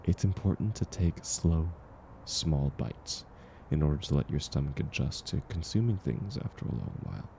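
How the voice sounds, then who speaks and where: A man acting a kind, very low-voiced gentle stranger. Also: animated, vocal fry, slight background noise, exaggerated voice. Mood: caring, encouraging. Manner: soft-spoken, reassuring, unhurried. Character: kind, gentle man, once homeless, sharing dinner with a stranger. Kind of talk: intimate audio roleplay acting, speaking to the listener.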